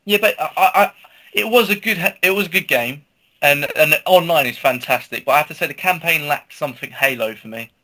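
A man's voice: a little high, a little fast, bright, forceful tone. A man co-hosting a podcast, talking casually about games with opinionated emphasis. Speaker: podcast co-host. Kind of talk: casual gaming chat.

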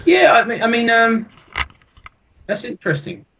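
A man's voice: extremely high pitch, sing-song, moderate pace, pushed and bright, slight background noise. A man chatting casually on a podcast in a hesitant, polite manner. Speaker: podcast co-host. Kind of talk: casual chat.

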